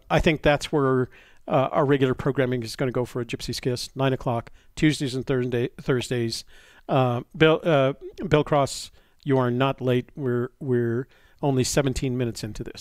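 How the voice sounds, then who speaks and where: An American man in his sixties with a slightly congested voice from a cold, chatting casually and haltingly as a live stream host. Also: medium pitch, no echo, clean studio sound. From New Mexico, United States. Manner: halting, often pausing mid-thought. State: stuffy, getting over a cold. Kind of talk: casual live chat, rambling.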